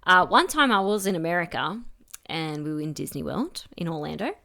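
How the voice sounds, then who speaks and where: A woman's voice: low-pitched, lively intonation, brisk, creaky, bright, forceful tone. A young woman with an Australian accent, co-hosting a podcast in casual, playful chat full of jokey asides. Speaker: podcast co-host.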